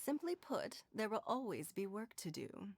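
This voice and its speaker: A woman's voice: mid-range voice, very animated, slight background noise. A woman playing an elegant, composed noblewoman leader in a video game, speaking in a formal, refined manner. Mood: gracious, composed. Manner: formal, refined, measured diction. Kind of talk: video game character acting.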